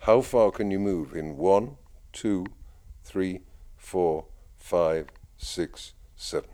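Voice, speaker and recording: Deep-voiced man telling a story. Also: slow pace, dark tone. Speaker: kibbutz resident who leads prayers. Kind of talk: casual interview answers.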